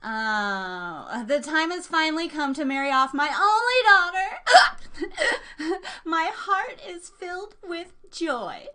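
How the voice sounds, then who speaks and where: A woman's voice: sits high, lively intonation, takes it slowly, sharp tone, full character voice. A woman playing a haughty, scheming older queen in a comic radio play, grandly gracious in proclamations and snide in asides. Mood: grandly emotional.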